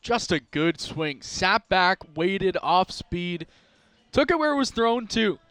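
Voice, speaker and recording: Male baseball color analyst, high voice, analytical breakdowns. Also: big pitch swings, slow, fairly bright tone, clear, resonant tone, dry recording. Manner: analytical, breaks down each pitch and swing. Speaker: color commentator.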